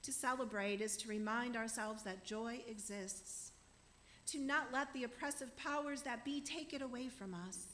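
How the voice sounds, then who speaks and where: A woman's voice: on the high side, normal speed, roomy sound, slight background noise. A woman minister giving an earnest sermon to her congregation in a church, saying she is losing her voice. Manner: warm, earnest preaching to a congregation. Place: church sanctuary.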